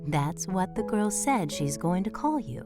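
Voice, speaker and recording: A woman's voice: lowish voice, big pitch swings, unhurried, over loud music. A woman voicing a tender, patient mother mouse in an audio drama, soothing and wistfully devout.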